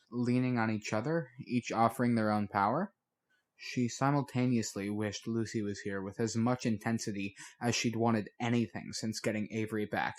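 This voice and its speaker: Male audiobook narrator, scripted fiction. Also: lowish voice.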